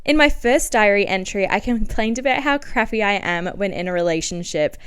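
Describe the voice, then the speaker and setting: Australian female, 20s, crisp mid-range voice. Podcast host, chatty confessional read, self-mocking and animated. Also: medium pitch, a little fast. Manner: animated, self-mocking, giggly asides, frequent "like". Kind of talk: casual, confessional podcast storytelling and diary reading.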